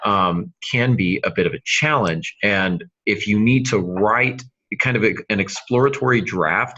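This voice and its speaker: Deep-voiced man giving podcast advice. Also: bright, forceful tone, highs cut off. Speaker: podcast host and writing mentor. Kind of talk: conversational writing-advice podcast.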